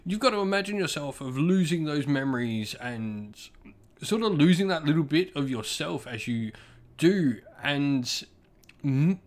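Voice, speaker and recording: A man's voice: on the high side, lively intonation, unhurried. A man giving an informal spoken review as a channel host, enthusiastic, stressing words and often circling back to repeat himself.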